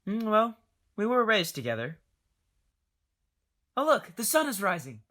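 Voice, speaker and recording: A woman's voice: mid-range voice, very animated, a little slow, fairly bright tone. A woman in an amateur audio drama playing a skeptical young passenger with doubting, teasing questions.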